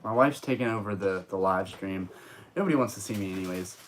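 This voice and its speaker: A man's voice: low-pitched, brisk, slight background noise. A young man hosting a casual live stream from home, chatty and rambling with lots of fillers and restarts. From United States. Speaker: streamer, host of a card-collecting channel. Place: home room.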